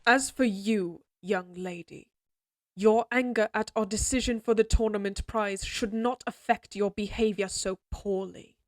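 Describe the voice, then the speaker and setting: A woman's voice: mid-range voice, steady tone, a little slow, dry recording, lightly acted. A woman acts out an imperious middle-aged lady of a fantasy castle. She speaks formally and with clipped command, in a very dry, close recording. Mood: angry, commanding. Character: stern, imperious noble mother of a lady knight. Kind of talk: scripted fantasy audio roleplay acting.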